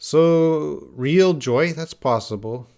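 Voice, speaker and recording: Slow, patient male English teacher. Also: on the high side, a little mellow. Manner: reads out and corrects viewers' answers one by one; patient, explains with repeated example phrases. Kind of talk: live online lesson.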